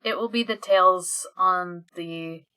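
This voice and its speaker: A woman's voice: on the low side, takes it slowly, bright, forceful tone, clear, resonant tone, rough recording. A young woman co-hosting a podcast, bantering casually with friends. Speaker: podcast co-host.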